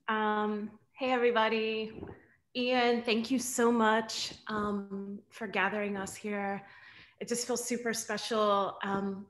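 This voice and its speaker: Echoey room, American woman, even pitch, halting, earnest, informal poet's introduction. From Delaware, United States. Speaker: guest poet. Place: bright room at home, indoors. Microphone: video call. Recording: roomy, echoing video-call audio.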